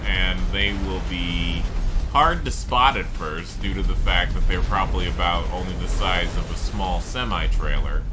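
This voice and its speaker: Slow-paced man casually explaining a game. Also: on the low side. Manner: rambling, explanatory. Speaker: game streamer. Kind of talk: casual game commentary for a live stream.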